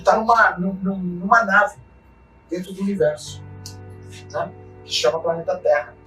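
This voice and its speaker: A man's voice: high-pitched, normal speed, pushed and bright, faint music behind. Man, distant roomy sound. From Chile. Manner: earnest, repeats short tag questions. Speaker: mountaineer and expedition guide. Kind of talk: reflective motivational talk.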